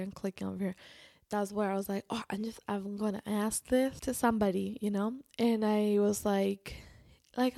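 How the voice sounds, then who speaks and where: Creaky-voiced woman chatting casually. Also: medium pitch, darkish tone, no echo, studio quality. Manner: informal, halting, restarts sentences and quotes herself often. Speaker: vlogger. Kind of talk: casual personal storytelling to camera.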